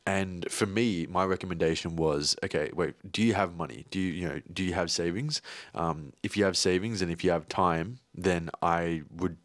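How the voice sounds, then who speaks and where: Low-voiced rambling young man. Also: normal speed, dry recording. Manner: rambling, many fillers and restarts. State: hay fever. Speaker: vlogger and videographer. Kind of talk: casual vlog talk.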